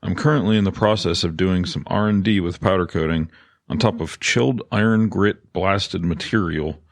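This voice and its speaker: A man's voice: low voice, no room sound, studio quality. A man co-hosting a podcast, reading out scripted announcements and listener questions. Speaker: podcast co-host.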